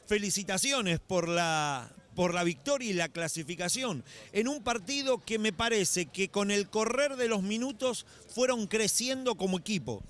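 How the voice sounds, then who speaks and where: A man's voice: high voice, lively intonation, moderate pace, a bit bright. A middle-aged man conducting a post-game interview in an indoor basketball arena, holding a handheld mic and asking long, formal questions. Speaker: courtside interviewer.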